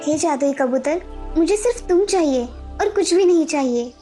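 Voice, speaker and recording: An affectionate young girl in a children's cartoon story , played by a woman. Her voice is clearly high, and soft music runs behind it. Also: expressive, normal speed. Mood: pleading. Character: affectionate girl Mala, fond of her magic pigeon.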